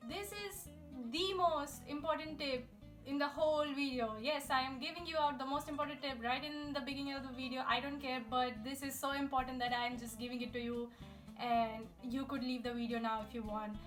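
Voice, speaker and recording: High-voiced chatty woman. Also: fairly even intonation, a little fast, a bit bright. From India. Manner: chatty, upbeat, talks straight to viewers. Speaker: language-learning YouTuber. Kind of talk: casual tips video to camera. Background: guitar music.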